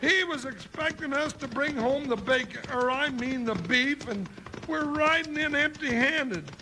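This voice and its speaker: A very high-voiced, folksy American cowboy sidekick, nervous and comically cowardly. He stammers and grumbles his way through an old Western radio drama. The vintage recording is clearly noisy. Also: measured pace, bright, forceful tone, heavily acted. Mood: anxious, whining. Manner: stammering, drawling folksy complaints. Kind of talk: 1950s Western radio acting.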